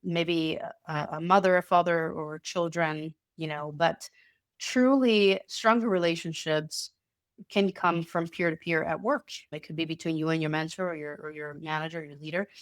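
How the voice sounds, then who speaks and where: Woman, slightly nasal, cold coming on, lowish voice, halting restarts, dry close sound. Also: fairly even intonation, no room sound. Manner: halting, many restarts and repeated words. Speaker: presenter. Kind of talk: casual online talk with slides.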